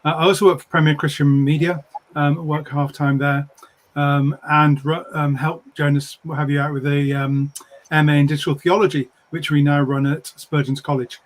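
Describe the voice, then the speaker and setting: A man's voice: on the high side, level tone, moderate pace, slight background noise, rough recording. A man, an academic, talking informally as a panelist in an online discussion. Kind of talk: informal self-introduction.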